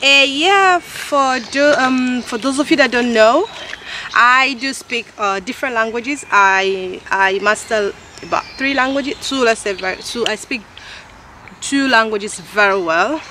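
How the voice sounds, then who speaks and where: A woman in her thirties from Nigeria with a Nigerian English accent, talking casually to camera in a halting way and often correcting herself. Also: a little high, pushed and bright. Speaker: vlogger. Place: outdoors in a park. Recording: noisy outdoor camera recording.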